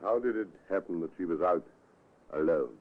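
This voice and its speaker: An actor playing an authoritative police superintendent in an old radio crime drama, deliberate and commanding. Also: male voice, sits low, expressive. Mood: grave, commanding. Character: brisk, authoritative male British police superintendent. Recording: narrow muffled vintage radio recording.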